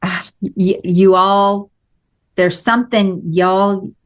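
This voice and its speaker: A woman's voice: mid-range voice, fairly even intonation, slow. A woman from Missouri with a light Missouri accent, hosting an interview podcast in an enthusiastic, chatty way with many affirmations. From Missouri, USA. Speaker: podcast host. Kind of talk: conversational interview.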